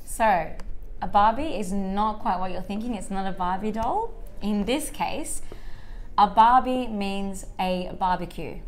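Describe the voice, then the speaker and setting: A young woman in her twenties from Sydney with an Australian accent, explaining slang in a relaxed, giggly way. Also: mid-range voice, unhurried. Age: 20s.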